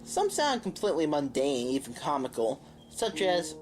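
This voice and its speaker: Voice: mid-range voice, very animated, moderate pace. A narrator reading out a scripted, eerie story about a video game for a review video.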